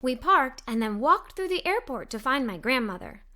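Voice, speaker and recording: A woman's voice: high-pitched, very animated, normal speed, sharp tone. A woman reading a children's story aloud, expressively, as a cheerful young girl narrator. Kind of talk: scripted read-aloud storytelling for kids.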